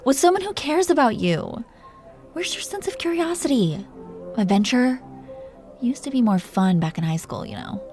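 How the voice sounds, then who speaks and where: A woman's voice: sits high, big pitch swings, normal speed, a little mellow, soft background music. A woman playing a commanding, playful, possessive dragon queen in an intimate roleplay audio drama. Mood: teasing, bossy. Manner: imperious, playful, teasing.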